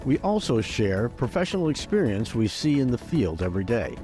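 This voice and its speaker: A man's voice: mid-range voice, sing-song, a little fast, mellow. A man co-hosting a podcast, reading a scripted show introduction in an announcer-like way. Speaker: podcast co-host. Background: faint music.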